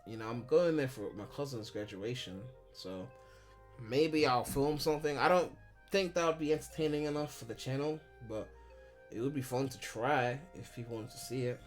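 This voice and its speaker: A man's voice: mid-range voice, normal speed, soft background music. A man in his twenties from Ghana, chatting casually on a live stream at home, rambling and candid with lots of filler and swearing. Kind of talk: casual live-stream chat answering viewers.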